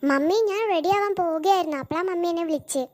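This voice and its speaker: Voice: very high voice, quick pace. A performer voicing an eager, vain little cartoon schoolgirl with a childish, exclamatory delivery. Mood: cheerful. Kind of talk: scripted cartoon dialogue.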